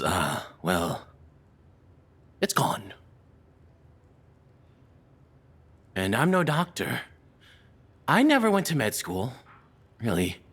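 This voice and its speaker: A man playing a nervous, lovestruck kidnapper in an audio roleplay, apologetic and obsessive, speaking in halting, rambling stammers. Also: on the low side, a bit bright, full character voice. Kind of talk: intimate dramatic audio roleplay monologue.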